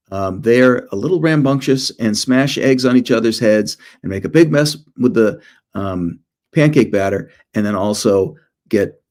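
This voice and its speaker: A man speaking informally as a children's author and illustrator presenting to students, with animated storytelling and self-deprecating humor. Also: fairly low pitch.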